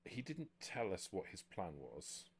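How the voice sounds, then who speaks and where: A man playing a cautious vampire retainer in a tabletop game, speaking formally in measured, halting phrases. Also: sits low, huge pitch swings, slightly rough, full character voice. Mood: grave, careful.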